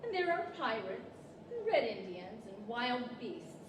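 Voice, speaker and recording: A woman plays an earnest, motherly little girl in a live radio play. Her voice is high and swings widely as she explains things like a storyteller. It is picked up at a distance in a reverberant hall. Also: sing-song, unhurried. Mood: earnest, wistful. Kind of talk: 1940s radio-theater acting. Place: large library hall, live stage performance. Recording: echoey hall, distant mic, background noise.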